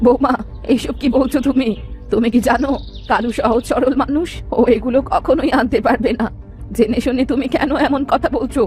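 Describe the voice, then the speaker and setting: A woman's voice: a little high, a little fast, darkish tone, soft background music, natural voice. A woman voicing a caring older village mother in a cartoon folktale, soothing, pleading and protective. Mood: worried, pleading. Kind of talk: fairy-tale cartoon acting.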